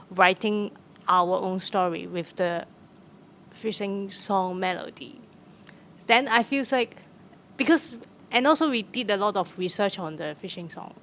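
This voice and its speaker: Young hong kong woman, slow and halting, lots of uh and restarts, faint noise in the room. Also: mid-range voice, a bit bright. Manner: halting, many fillers and restarts. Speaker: theatre practitioner. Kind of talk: conversational interview answer.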